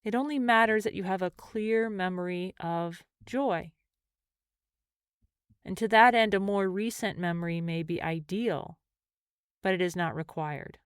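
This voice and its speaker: A woman leading a guided meditation as a mindfulness teacher, speaking gently and in measured phrases with pauses. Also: medium pitch, smooth, dry recording, clean studio sound.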